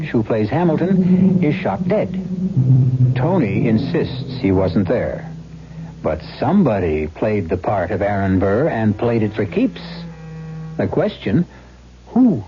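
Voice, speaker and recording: A male host introduces a radio drama in a low voice with very slow, deliberate phrasing. The style is suspenseful and scripted. Music plays behind the muffled recording. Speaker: host narrator.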